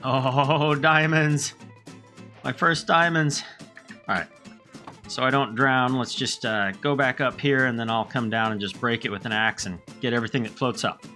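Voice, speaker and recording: Bright-voiced man hosting a game playthrough. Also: medium pitch, moderate pace, soft background music. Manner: friendly, relaxed narrating. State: slight head cold. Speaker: let's play host. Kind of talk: gameplay commentary.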